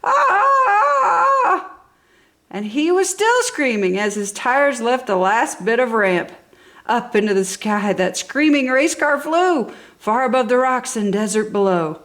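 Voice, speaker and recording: A woman's voice: on the high side, expressive, a little slow. A woman reading a children's story aloud with enthusiasm and dramatic emphasis. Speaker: storybook reader. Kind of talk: expressive children's story narration.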